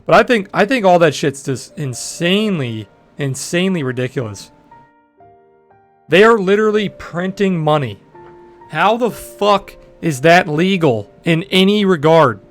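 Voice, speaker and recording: A young man in his twenties with a deep voice, chatting bluntly and profanely with viewers on a trading livestream. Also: expressive, a little slow, faint music behind. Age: 20s. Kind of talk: casual livestream chat answering viewers.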